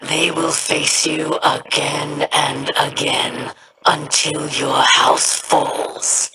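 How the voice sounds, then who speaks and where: A man's voice: a little high, little pitch movement, heavily acted, low-quality recording. A man voicing a defiant, threatening alien pirate villain in a video game. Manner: threatening, deliberate. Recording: loud background noise.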